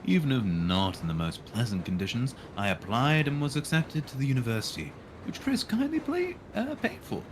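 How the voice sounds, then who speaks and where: A man's voice: mid-range voice, big pitch swings, a little fast, hardly any pauses, background noise. A man streaming a visual novel, reading it aloud and dropping in dry, irreverent asides. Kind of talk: live read-aloud of a game with casual joking asides.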